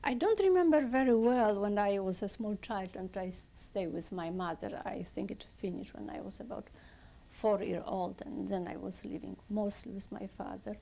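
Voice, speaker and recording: Older woman, Polish accent, gravelly voice, warm mellow tone, halting careful testimony. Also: mid-range voice. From Poland. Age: 70s. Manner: halting, slow, with non-native phrasing. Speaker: interviewee. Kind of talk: oral history testimony.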